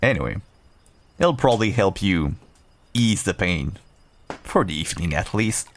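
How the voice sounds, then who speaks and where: A man playing a seasoned werewolf adventurer, gruff but kindly and wry, talking straight to the listener in an audio roleplay. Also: deep voice, sing-song, a little slow, a little hiss or hum. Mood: amused, agreeable. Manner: reassuring, wry, bantering. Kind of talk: audio roleplay, one-sided dialogue addressed to listener.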